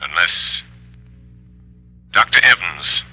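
Mysterious vigilante, old radio show, deep slow voice, commanding and a bit mocking. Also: male voice, lively intonation, pushed and bright, husky, faint music behind, full character voice, poor audio. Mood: commanding, suspicious. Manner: deliberate, commanding, faintly mocking. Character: mysterious, menacing, self-assured male crimefighter. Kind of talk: 1930s radio drama acting.

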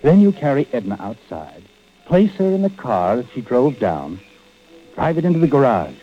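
A sly old radio thriller narrator, taunting and knowing, dull recording w music behind. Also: male voice, medium pitch, big pitch swings, moderate pace. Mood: sly, insinuating. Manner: insinuating, taunting rhetorical questions. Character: sly, knowing male narrator addressing the guilty man. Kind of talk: 1940s-50s radio suspense narration in second person.

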